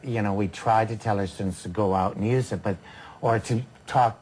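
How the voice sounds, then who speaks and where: A woman who works at an English language school, talking on a TV studio chat with halting restarts and emphatic turns. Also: very deep voice, steady tone, a little mellow, far from the mic, a little hiss or hum.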